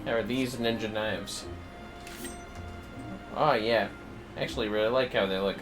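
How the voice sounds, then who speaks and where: A man's voice: fairly low pitch, fairly even intonation, a little slow, music in the background. A man giving casual, playful livestream commentary on a video game, muttering asides to himself.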